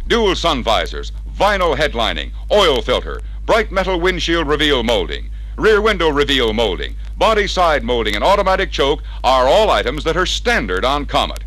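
An American man narrating a scripted 1960s car sales training film in an emphatic, persuasive, crisply enunciated way. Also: mid-range voice, bright, forceful tone, poor audio. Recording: vintage 1961 training record sound.

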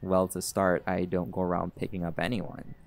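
A man's voice: deep voice, moderate pace, vocal fry. A man playing a chill, flirty jaguar shifter. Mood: teasing. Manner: relaxed, teasing, blunt and flirtatious. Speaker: audio roleplay character. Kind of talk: audio roleplay acting. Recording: music playing behind.

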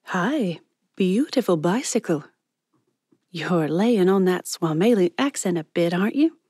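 A woman narrator voicing a bold, chatty young woman who speaks clearly and confidently in an audiobook. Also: lowish voice, animated, measured pace, darkish tone, no echo, clean studio sound. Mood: breezy, friendly.

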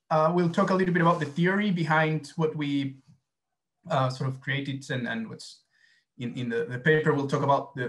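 A man in his thirties from Argentina with an Argentine Spanish accent, giving a conference presentation over a video call with many fillers and restarts. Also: on the high side. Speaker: academic presenter.

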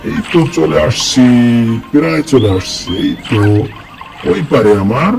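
A man voicing an earnest young village boy, narrating a cartoon in the first person with a lively, repetitive telling. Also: a little high, a little slow, gravelly, heavily acted. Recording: cartoon voice-over with loud music. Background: background music.

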